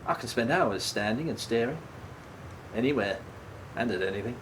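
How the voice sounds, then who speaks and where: An actor playing a subdued, guilt-ridden man in a radio play, speaking haltingly and repeating his words. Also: medium pitch, lively intonation, a little hiss or hum, natural voice, low-quality recording. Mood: morose, weary. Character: guilt-ridden, self-blaming man, an ex-prisoner, subdued and troubled. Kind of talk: radio play dialogue, naturalistic.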